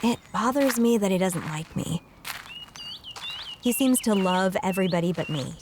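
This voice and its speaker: A woman's voice: medium pitch, animated, normal speed, background noise, close to an everyday voice. A woman playing a sweet, loyal young best friend in an audio drama, earnest and teasing. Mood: defensive.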